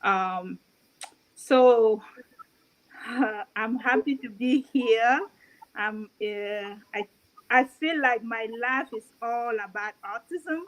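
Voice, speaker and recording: A woman's voice: fairly high pitch, slow pace, low-quality recording. A woman from Cameroon introducing herself as a guest on a video call, with many hesitations. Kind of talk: online talk show guest.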